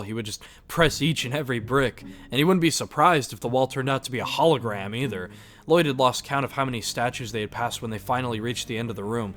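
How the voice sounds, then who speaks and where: A male narrator with a medium-pitched voice reads a scripted adventure story. Music plays clearly behind his storytelling. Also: a bit bright.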